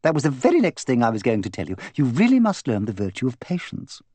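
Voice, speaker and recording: A man's voice: mid-range voice, wildly swooping pitch, fast talker, darkish tone, dry recording. A man voicing an imperious, gossipy, opinionated English woman in an audiobook, bossy, emphatic and voluble. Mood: indignant, bossy. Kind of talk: audiobook character dialogue.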